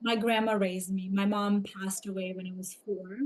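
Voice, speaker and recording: Ukrainian accent woman, podcast guest telling personal story, reflective, bit halting, mid pitch. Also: little pitch movement. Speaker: podcast guest, somatic coach.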